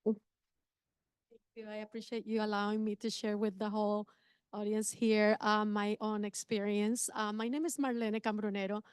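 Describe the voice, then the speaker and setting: Clear-voiced middle-aged woman from Costa Rica. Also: medium pitch, little pitch movement, normal speed, dry recording. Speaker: guest speaker, real estate agent. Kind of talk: informal personal introduction to an audience.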